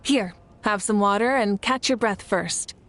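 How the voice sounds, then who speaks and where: A woman voicing a calm, composed and reassuring leader in an anime game. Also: mid-range voice, measured pace, lightly acted. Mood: welcoming. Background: game music.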